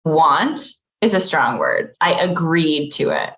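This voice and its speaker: A woman reading the part of an aloof, blunt managing editor in a deadpan, conversational comedy table read. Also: low-pitched, slow pace, gravelly, heavily acted. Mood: bored, blunt. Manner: deadpan, unimpressed.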